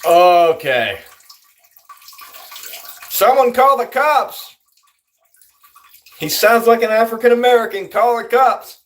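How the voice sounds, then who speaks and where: A man's voice: very high-pitched, animated, slow, pauses often, fairly bright tone. A man livestreaming and answering chat in a loose, rambling way, full of fillers. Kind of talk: casual chat.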